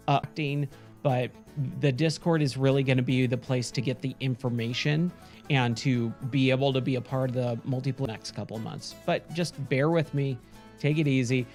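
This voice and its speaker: A man streaming late at night, chatting casually with viewers in a hoarse voice that is going. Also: mid-range voice, darkish tone. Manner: chatty, rambling, frequent self-corrections. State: hoarse, losing his voice.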